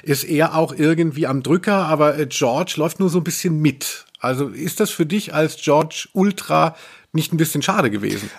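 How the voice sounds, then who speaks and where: A man's voice: on the high side, expressive, moderate pace, vocal fry, husky. A man with speech co-hosting a podcast in casual conversation. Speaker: podcast host.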